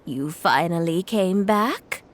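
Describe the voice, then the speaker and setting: A woman voicing a gentle, polite elderly grandmother in a video game, wistful and hesitant as she reminisces. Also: lowish voice, expressive, takes it slowly. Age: older. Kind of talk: video game character acting.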